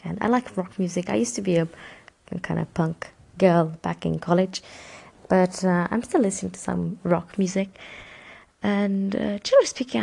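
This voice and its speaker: Woman, 30s, gravelly fry, easygoing interview guest who rambles with fillers. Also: lowish voice. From Romania. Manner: relaxed, rambling, with fillers and small laughs. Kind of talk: casual interview answers.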